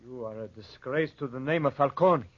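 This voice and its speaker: A man's voice: mid-range voice, fairly quick, natural voice. An actor playing a desperate, distrustful man on the run in a 1940s radio drama. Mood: urgent. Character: desperate fugitive uncle, pleading and distrustful. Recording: muffled, dated 1940s radio recording.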